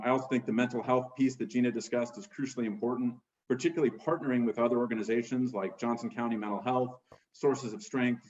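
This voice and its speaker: A middle-aged man running for school board, giving a measured, organized opening statement over a video call. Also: medium pitch, a little mellow, roomy sound, lo-fi sound. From United States.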